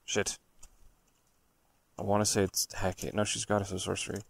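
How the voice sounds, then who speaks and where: Low, gravelly man, blunt and profane. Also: monotone, normal speed, dry recording. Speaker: podcast co-host. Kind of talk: casual banter and storytelling.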